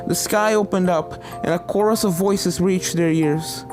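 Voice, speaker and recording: A woman's voice: on the low side, measured pace, over music. A woman reading a fan fiction story aloud as narrator, in a scripted, dramatic storytelling style.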